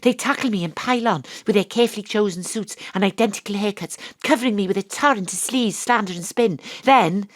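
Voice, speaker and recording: An actress playing a wry, world-weary Welsh private investigator, narrating and talking in an audio drama. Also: female voice, mid-range voice, fairly quick, hardly any pauses, close to an everyday voice. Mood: dramatic, brooding. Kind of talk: first-person audio drama narration and dialogue.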